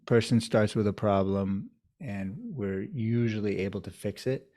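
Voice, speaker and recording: A man with a somewhat low voice answers interview questions as a doctor. He talks in a measured, reflective way, building his answers step by step. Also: animated, warm tone. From United States, New York area. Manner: measured, thoughtful storytelling. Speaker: urologist guest.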